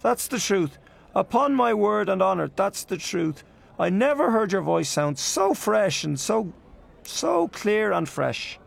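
A man voicing a gushing, excitable young man who heaps eager praise and repeats himself. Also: high-pitched, unhurried, gravelly, pitched above the performer's own voice, full character voice.

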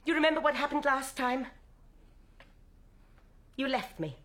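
Fiery, high-pitched nagging wife. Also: female voice, lively intonation, unhurried, fairly bright tone. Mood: reproachful. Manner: scolding, sarcastic, emotionally volatile. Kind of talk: dramatic stage-play acting.

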